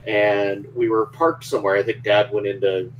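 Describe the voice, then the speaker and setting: A man's voice: fairly low pitch, little pitch movement, moderate pace, highs cut off, a little hiss or hum. A man casually telling stories among friends, restarting and repeating words as he goes.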